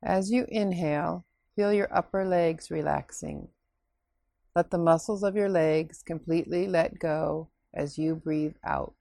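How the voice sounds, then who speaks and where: A woman leading a scripted guided relaxation meditation in a soothing, unhurried, instructing way with pauses. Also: low voice, fairly even intonation, vocal fry, dark tone, no room sound, clean studio sound.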